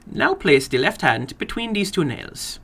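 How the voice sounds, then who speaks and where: A man voicing a funny, sinister little foreign gambler in a horror story, brisk and courteous, with precise, stilted non-native phrasing. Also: high voice, big pitch swings, fairly bright tone, slightly rough, voice pushed up, exaggerated voice. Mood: precise, commanding. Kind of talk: dramatic horror story reading.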